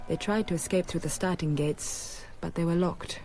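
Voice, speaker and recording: A woman's voice: fairly low pitch, normal speed, darkish tone, natural voice. An actress playing a worldly female snake dancer in a radio drama, dry, teasing and matter-of-fact. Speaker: circus performer.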